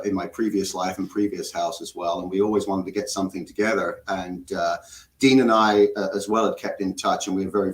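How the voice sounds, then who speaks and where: A man in his fifties from England, with an English accent softened by years in Canada, chatting in a rambling, hesitant way as a band member. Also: low-pitched. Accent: English, partly Canadian. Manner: rambling, hesitant, many fillers. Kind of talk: casual interview chat. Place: home music studio, garage. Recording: echoey garage room.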